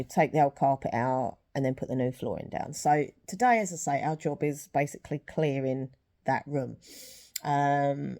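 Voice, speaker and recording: A woman's voice: low voice, dark tone. A young woman chatting casually in a rambling vlog, a little sniffly with a sore throat. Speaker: vlogger.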